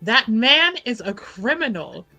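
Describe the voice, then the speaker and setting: Woman as a bright, imperious fantasy heroine. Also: on the high side, sing-song, slow, faint music behind, full character voice. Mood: indignant. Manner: indignant, imperious. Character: haughty, determined fantasy woman pursuing a criminal.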